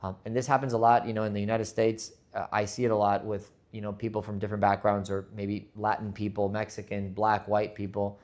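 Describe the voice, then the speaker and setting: Male podcast host, earnest motivational monologue, slightly low voice, stumbles and restarts. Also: level tone, a little fast, few pauses, darkish tone. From Spain. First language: Spanish. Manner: earnest, repeats words as he restarts.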